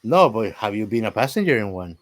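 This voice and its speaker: A man's voice: on the low side, big pitch swings, brisk, dry recording. A man chatting casually as a podcast co-host, very informal and often tagging his phrases with "man". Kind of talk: casual film podcast chat.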